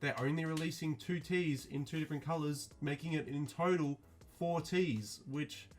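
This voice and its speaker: A man talking casually to his viewers, reviewing a clothing release in a flat, mildly disappointed way with lots of ums and restarts. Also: fairly high pitch, moderate pace. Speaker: streetwear vlogger.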